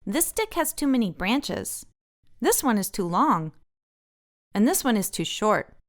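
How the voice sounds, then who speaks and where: A woman's voice: on the high side, sing-song, measured pace. A woman reading the part of a confident, bossy, cheerful little girl in a children's storybook, sounding instructive and sure of herself. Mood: encouraging. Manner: instructive, self-assured.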